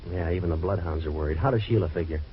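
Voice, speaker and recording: An actor playing a sardonic, wisecracking American private eye in an old-time radio detective drama, with a deadpan delivery. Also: male voice, deep voice, very fast, lightly acted. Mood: dry, brisk. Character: hardboiled, wisecracking male private eye in trouble. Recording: dull, noisy vintage radio recording.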